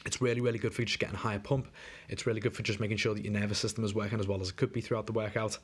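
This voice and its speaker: A man's voice: fairly low pitch, level tone, very fast, breathy edge. A young man coaching a client on a diet plan in a casual, chatty way, sounding a bit wheezy from a coming flu. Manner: laid-back, chatty, lots of fillers. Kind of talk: casual screen-recorded walkthrough. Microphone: screen recording.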